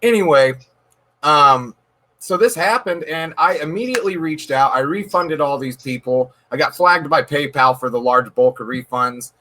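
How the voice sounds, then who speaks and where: Guy, a touch high-voiced, earnest and emphatic, casual interview guest who restarts and repeats words. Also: moderate pace, pushed and bright, slight background noise. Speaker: card shop owner, guest. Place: dark room.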